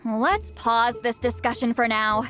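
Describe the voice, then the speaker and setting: A woman voicing a cocky, mischievous granny high priestess in a video game, blunt and teasing. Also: unhurried, fairly bright tone. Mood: brash, teasing. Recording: studio voice over game music.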